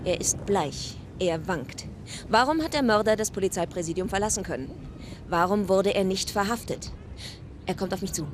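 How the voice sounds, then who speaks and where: A woman's voice: medium pitch, animated, normal speed, slight background noise, natural voice. A woman playing a sensationalist, merciless live reporter in a radio drama, dramatic and accusing. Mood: breathless, dramatic.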